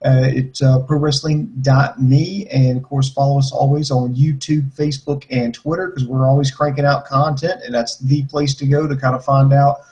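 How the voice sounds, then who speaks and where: A man's voice: medium pitch, little pitch movement, normal speed, hardly any pauses, dark tone, poor audio. A man co-hosting a wrestling podcast in casual chat, answering listener questions in a blunt, joking way. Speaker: podcast co-host.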